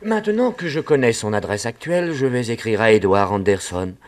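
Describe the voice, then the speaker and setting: A man playing a polite, cautious reverend in a French radio play, speaking gently and piously. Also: mid-range voice, expressive, a little fast, natural voice. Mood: courteous. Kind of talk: old French radio drama acting.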